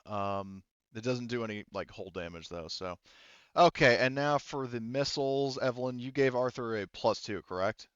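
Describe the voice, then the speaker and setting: A man's voice: mid-range voice, normal speed, smooth, dry recording, lo-fi sound. A man acting as game master in a tabletop roleplaying game, talking informally and thinking aloud with casual swearing. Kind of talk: running an online tabletop roleplaying game, rules talk.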